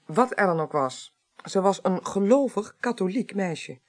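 A woman's voice: medium pitch, expressive, unhurried. An actress playing a shrewd, composed female investigator in a Dutch radio play, pointed and explanatory. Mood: composed explaining.

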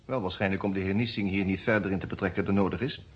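Calm businesslike lawyer in radio detective drama, husky, muffled old recording. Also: male voice, sits low, fast. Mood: businesslike, reassuring. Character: composed, businesslike male defence lawyer.

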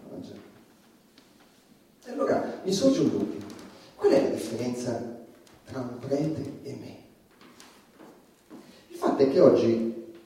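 Actor as dark-voiced irreverent stand-up comic, echoey and distant. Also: male voice, medium pitch. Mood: sardonic. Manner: dramatic pauses, addresses the audience as friends, repeats phrases. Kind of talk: theatrical stand-up comedy monologue in a stage play. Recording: echoey room, distant mic, music behind.

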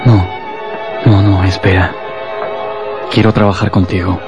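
An actor playing a weak, anxious conspirator in a radio drama, questioning and pleading. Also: male voice, low voice, flat delivery, measured pace, muffled recording. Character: weak, anxious male conspirator, eager to follow. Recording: music behind the voice.

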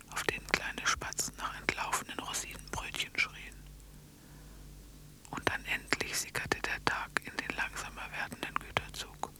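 Woman acting whispery female storyteller for a weird radio play, all breath, hushed the whole way. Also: natural voice. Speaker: narrator. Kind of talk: literary radio drama narration.

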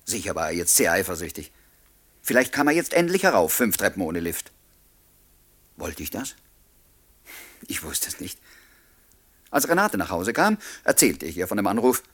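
A man's voice: low-pitched, big pitch swings, normal speed, pauses often, fairly bright tone, dry recording, heavily acted. An actor playing an earnest, lovelorn writer who confides his story in a radio play. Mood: wistful, confiding. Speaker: narrator and lead character. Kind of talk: radio play, confiding first-person narration and dialogue.

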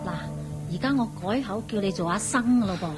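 A woman's voice: mid-range voice, brisk, music in the background, close to an everyday voice. A woman with a Hong Kong Cantonese accent playing a motherly, scheming palace cook in a radio drama, chatty and coaxing.

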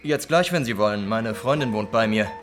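An actor playing a big, evasive young suspect in a radio crime drama, sounding defensive and insolent. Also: male voice, fairly low pitch, lively intonation, normal speed, lightly acted. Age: young adult.

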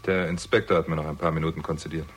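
An actor playing a sardonic, hot-tempered hard-boiled amateur sleuth in a 1960s German radio crime drama, with dry, biting delivery. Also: male voice, extremely low pitch, level tone, rapid-fire, slight background noise. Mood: dry, guarded. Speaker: lead actor and first-person narrator.

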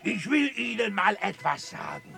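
A man's voice: sits high, sing-song, normal speed, creaky, pushed and bright, faint music behind, heavily acted. An actor in a radio drama playing a sneering, authoritarian colonial military commandant who barks and mocks as he interrogates. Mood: threatening. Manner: barking, mocking, interrogating.

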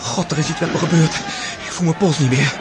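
A man's voice: fairly high pitch, fast, over loud music, natural voice. An actor playing a frightened young cadet in a radio drama, stammering and breathless as he pleads. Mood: panicked disbelief. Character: frightened young male cadet soldier, wounded on a battlefield. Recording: loud battle noise behind the voice.

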